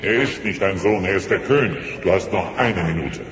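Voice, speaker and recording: An actor playing a stern old dinosaur monk who proclaims solemnly and pompously in a children's audio play. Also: male voice, low-pitched, normal speed, background noise, close to an everyday voice. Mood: imperious. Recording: muffled audio in a long echoey reverb, loud music.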